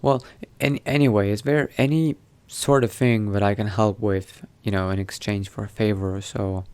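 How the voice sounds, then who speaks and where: A man narrating his role-play gameplay in character, musing to himself in an unhurried, gravelly voice. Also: lowish voice, steady tone, darkish tone. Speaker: gaming video host, role-playing player.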